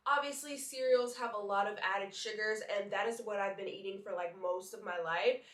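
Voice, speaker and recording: A woman's voice: mid-range voice, moderate pace, fairly bright tone, echoey room, far from the mic. A young woman, congested from a cold and sounding nasal, chatting casually to her vlog camera and filling her talk with "like". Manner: chatty, leans on "like" fillers. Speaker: vlogger and model.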